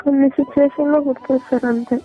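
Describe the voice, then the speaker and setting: A woman's voice: high voice, flat delivery, fast talker. A young woman in an emotional private phone conversation, giving brief, defensive replies and questioning back. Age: young adult. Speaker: married former girlfriend on a call. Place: phone call. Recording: tinny phone call recording with music. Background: music.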